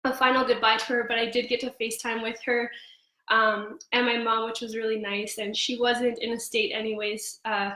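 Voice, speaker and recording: A young woman with a slightly hoarse voice, chatting casually on a live cooking stream with frequent ums. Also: a little high, little pitch movement, normal speed, bright, forceful tone. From Canada. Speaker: host of a cooking livestream. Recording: echoey room.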